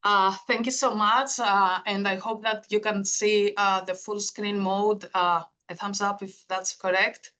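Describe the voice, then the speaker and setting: A woman's voice: medium pitch, steady tone, unhurried, few pauses, bright, forceful tone. A middle-aged woman from Greece with a Greek accent, giving an online keynote lecture as a professor, with frequent "uh" fillers. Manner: frequent "uh" fillers, earnest and explanatory. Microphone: video call.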